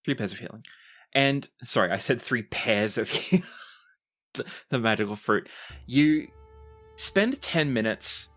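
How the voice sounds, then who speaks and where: A man narrating a tabletop roleplaying game as game master, in a measured, deliberate storytelling manner. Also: medium pitch, lively intonation, fairly bright tone, faint music behind.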